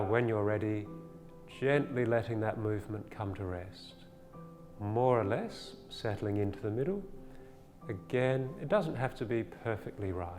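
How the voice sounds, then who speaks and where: Low-voiced man guiding meditation in long reverb. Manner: gentle, soothing, unhurried instruction with pauses. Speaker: meditation teacher. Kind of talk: guided body scan meditation. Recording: long reverb, faint music behind.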